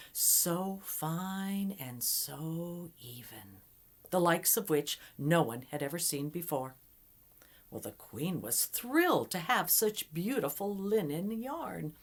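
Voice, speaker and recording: A woman telling a folktale aloud in an expressive, dramatic way with deliberate pauses. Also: deep voice. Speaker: storyteller. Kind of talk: oral folktale storytelling, dramatic.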